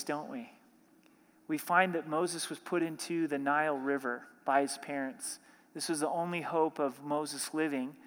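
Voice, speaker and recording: A man with a fairly high voice preaches a sermon, moving between scripture reading and explanation. He asks his listeners rhetorical questions as he goes. His voice rings with the long reverb of a large hall. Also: a bit bright. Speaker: pastor.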